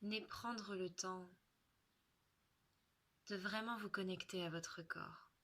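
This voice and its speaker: A woman leading a guided relaxation in French, soothing and unhurried, with soft, breathy phrase endings and long pauses. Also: medium pitch, fairly even intonation.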